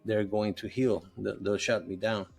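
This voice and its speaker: A man's voice: on the low side, steady tone, normal speed, gravelly, darkish tone, slightly rough, soft background music. A middle-aged man whose first language is Spanish, talking earnestly and loosely as a guest in a podcast interview. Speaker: podcast guest, nutritionist and health coach. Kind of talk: conversational interview.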